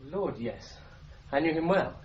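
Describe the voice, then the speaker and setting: A man's voice: a little high, lively intonation, a little slow. A man playing an affable young solicitor. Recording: lo-fi recording with loud noise.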